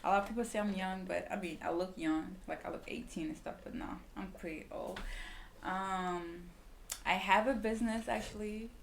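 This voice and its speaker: A woman's voice: mid-range voice, steady tone, normal speed, gravelly, slight background noise. A woman in her twenties with a Swedish accent, chatting casually as a podcast guest, rambling with lots of fillers. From Liberia, raised in Sweden. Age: 20s. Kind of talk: casual chat.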